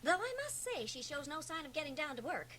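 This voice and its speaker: An actress playing a tart, judgmental small-town gossip with a catty, animated manner in a radio drama. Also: female voice, high voice, fast. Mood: catty, gossipy. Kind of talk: 1980 radio drama acting. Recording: noisy cassette copy of radio drama.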